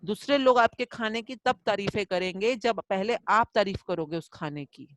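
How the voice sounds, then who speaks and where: A middle-aged woman leads a live online lesson, answering a student's question with homely examples and quick acted-out quotes. She moves easily between Hindi and English at a middle pitch. Her voice is dry and close to the mic. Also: no echo. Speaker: gratitude course teacher.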